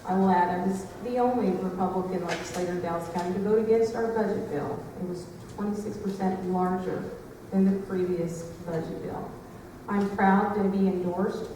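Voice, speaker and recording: A woman legislator delivers a brisk, confident campaign speech. She is heard from across a large, reverberant hall. A clear background noise runs under her. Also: medium pitch. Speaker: state legislator, candidate. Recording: echoey hall, mic far off.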